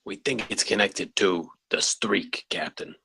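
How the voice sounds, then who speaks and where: Man playing a gravelly, deadpan campus security guard. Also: fairly low pitch, a little slow, slight background noise, exaggerated voice. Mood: dry. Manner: deadpan, offhand. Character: lazy, jaded campus security guard on a Segway. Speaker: actor in a screenplay table read. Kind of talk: comedy script read aloud.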